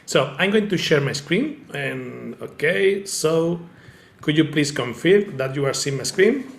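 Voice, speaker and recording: A man from Spain with a strong Spanish accent giving an online presentation, halting and peppered with "okay". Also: fairly high pitch, expressive, vocal fry, roomy sound, slight background noise. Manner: halting, fillers like okay and eh, searches for words. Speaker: tech company founder presenting. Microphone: video call.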